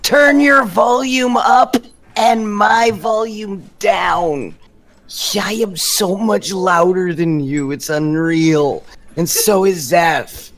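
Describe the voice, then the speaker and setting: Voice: medium pitch, takes it slowly, a bit bright. A live stream co-host talking in a blunt, casual way.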